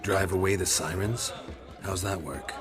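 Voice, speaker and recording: An actor playing a terse, world-weary monster hunter with a low gravelly voice and deadpan delivery in a video game. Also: male voice, unhurried, crowd noise. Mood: terse, businesslike.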